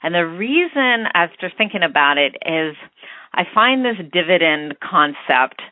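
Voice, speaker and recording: Female American caller, tinny phone line, dry sound, medium pitch, informal and halting, thinking through her opinions aloud. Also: sing-song, dry recording. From United States. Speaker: podcast listener leaving a voicemail. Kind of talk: informal spoken opinion message.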